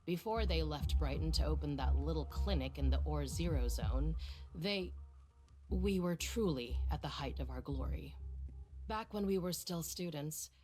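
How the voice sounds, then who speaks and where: A woman's voice: low voice, expressive, normal speed, dark tone, soft background music. An actress playing a middle-aged woman research director in a video game, silky, teasing and patronizing, with a manipulative streak. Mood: scornful.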